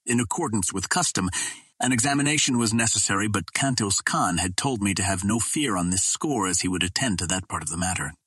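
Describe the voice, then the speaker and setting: A man narrates a classic adventure novel in a low voice with a husky edge. The sound is dry, with very little room around it. He reads as an audiobook narrator, from a script. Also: animated, a little fast, a bit bright, no echo. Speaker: audiobook reader.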